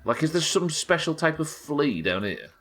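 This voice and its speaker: A British man with a naturally croaky voice, chatting casually and swearing while streaming a game. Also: fairly high pitch, very animated, normal speed, a bit bright, soft background music. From United Kingdom. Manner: chatty, swears, reads chat aloud. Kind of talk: casual gameplay chat.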